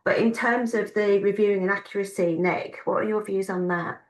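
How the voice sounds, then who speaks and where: Woman, panel moderator, mid pitch, hesitant, lots of ums, echoey room. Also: steady tone, moderate pace. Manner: hesitant, many ums while reading questions. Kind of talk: conversational Q&A.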